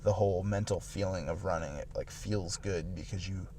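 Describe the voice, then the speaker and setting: Late-twenties male podcast co-host, slightly low voice, loose rambling delivery. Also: normal speed, mellow, a little hiss or hum. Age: late 20s. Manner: rambling, filler-heavy with "like" and restarts. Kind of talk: casual chat.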